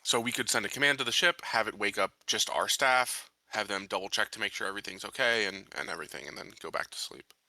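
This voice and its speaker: A man's voice: fairly low pitch, fast talker, bright, forceful tone, no echo, rough recording. A man talking casually as a player in a tabletop roleplaying game.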